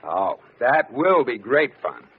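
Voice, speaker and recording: An actor playing a stubborn, exasperated American husband, sputtering in a vintage radio sitcom. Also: male voice, mid-range voice, sing-song, slow pace, husky, exaggerated voice. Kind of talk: 1940s-50s radio sitcom acting. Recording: muffled, noisy radio recording, live audience.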